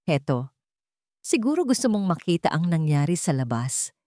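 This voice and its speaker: A Filipino woman voicing a gentle, concerned female companion in a story, speaking tentatively. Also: low voice, very animated, normal speed, no echo, clean studio sound.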